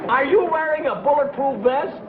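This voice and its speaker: A man's voice: very high voice, expressive, normal speed, distant mic, over a noisy crowd. A man playing a menacing, emphatic tough gunman in a broad television comedy sketch. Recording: old tinny broadcast, echoey, noisy studio audience.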